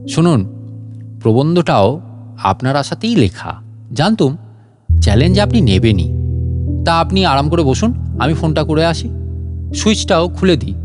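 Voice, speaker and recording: A man voicing a sly gentleman inventor with a mock-courteous, teasing manner in a story reading. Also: a little high, very animated, unhurried, long pauses, over music. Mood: teasing triumph. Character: sly, mock-polite gentleman inventor who traps thieves.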